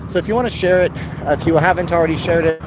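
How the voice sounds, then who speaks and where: A man chatting casually as he walks and live streams on his phone, rambling and answering chat comments, with a dry throat he keeps clearing. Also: on the high side, racing. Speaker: vlogger live streaming a city walk. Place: outdoors by a busy boulevard. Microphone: handheld phone. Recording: outdoor phone recording with traffic noise. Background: passing cars and street traffic.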